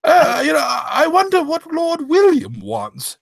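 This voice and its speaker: A woman playing a loyal, polite, hesitant gentleman companion who stammers, in an old-time radio drama spoof. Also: sits high, animated, measured pace, vocal fry, full character voice.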